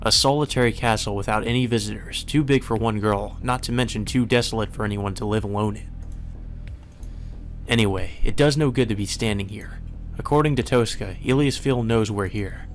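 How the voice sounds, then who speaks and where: Low-voiced man reading a visual novel aloud. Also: brisk. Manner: informal, swears in asides. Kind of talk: narrated read-through with casual side comments. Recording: music playing behind the voice. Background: background music.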